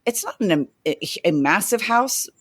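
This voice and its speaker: A woman co-hosting a teaching podcast, chatty and rambling, her voice slightly hoarse from the end of the school year. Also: medium pitch, measured pace. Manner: chatty, animated, rambling with fillers. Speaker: podcast co-host. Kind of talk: casual chat.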